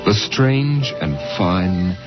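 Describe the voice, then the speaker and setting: A man's voice: sits low, animated, drawn-out words, exaggerated voice. A man narrating as a solemn, ominous storyteller in a 1950s radio drama, with suspenseful dramatic delivery. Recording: muffled old radio broadcast with music.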